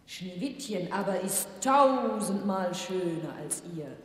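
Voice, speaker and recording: A man voicing a magic mirror in a fairy-tale audio play, slow and solemn. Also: high-pitched, lively intonation, voice pushed up. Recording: heavy echo, long reverb.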